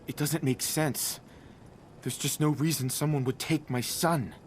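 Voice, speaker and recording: A man's voice: on the high side, fairly even intonation, measured pace, a little hiss or hum, natural voice. A male actor playing a shocked, grieving husband and father in a video game, serious and understated. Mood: grieving, urgent. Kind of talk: video game character acting.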